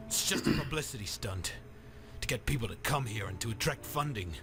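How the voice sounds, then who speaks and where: A man playing a gruff, dismissive armed soldier in a visual novel, with music behind him. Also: medium pitch, expressive, a little fast. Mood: scornful.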